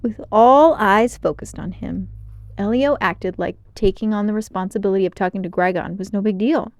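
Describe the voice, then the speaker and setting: A woman narrating a children's novel aloud in an expressive storytime style. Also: mid-range voice, normal speed, dry recording, clean studio sound.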